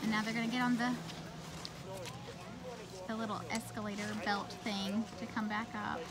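A woman's voice: on the high side, little pitch movement, normal speed, rough recording. A woman in her thirties, a mother filming her family, chatting in a rambling way and laughing often. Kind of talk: casual narration while filming. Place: outdoor ski slope at night. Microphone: phone camera held by the speaker.

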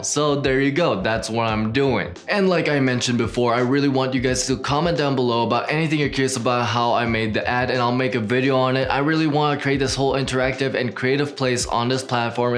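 A young man in his twenties hosting a filmmaking channel, talking casually and eagerly to camera from a small home studio. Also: medium pitch. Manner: upbeat, animated hand gestures, chatty with restarts. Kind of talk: casual talking-head vlog. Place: small home studio with neon lights, indoors.

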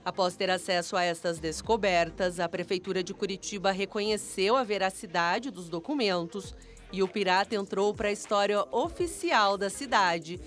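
A woman's voice: mid-range voice, normal speed. A woman reading scripted TV news narration as a reporter. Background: background music.

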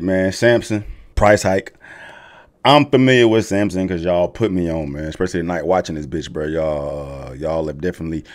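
A man's voice: lowish voice, darkish tone. An American man in his thirties giving casual, slangy, profane reaction commentary, emphatic and repeating himself, close on a studio mic. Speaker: reaction video host. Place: small home gaming room. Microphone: close studio mic on boom arm.

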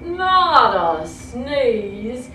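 A plaintive storybook bear acted out by a woman, complaining in slow, emphatic, swooping phrases. The voice rings in a roomy space. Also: fairly high pitch, very animated, bright, forceful tone, distant mic, slight background noise, voice pushed down, heavily acted. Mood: aggrieved pleading. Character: big grumbling, aggrieved bear in a storybook.

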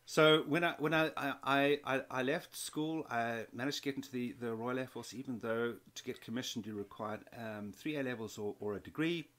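A man's voice: medium pitch, fairly even intonation, moderate pace, few pauses, a bit bright. A man in his fifties from South Africa, telling his story as an interview guest, with many restarts and repeated words. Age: 50s. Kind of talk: conversational interview.

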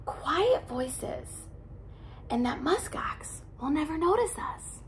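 A woman voicing a tiny mother lemming and her pups in a picture book, squealing high and then whispering. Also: sing-song, slow, slight background noise, full character voice.

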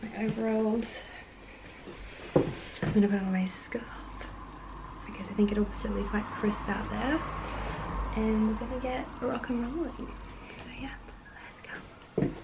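A woman's voice: fairly high pitch, steady tone, measured pace, pauses often. A young woman in her twenties talking straight to a handheld camera as a casual travel vlogger, chatty and upbeat. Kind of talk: casual vlog narration. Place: outdoors on city streets, some indoor rooms. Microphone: camera held at arm's length. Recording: street noise and traffic behind.

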